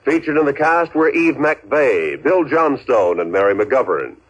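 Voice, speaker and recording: A man with an American accent formally reading credits as a 1950s radio announcer, in a measured way. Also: mid-range voice, big pitch swings, husky. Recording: dull, lo-fi 1950s radio recording.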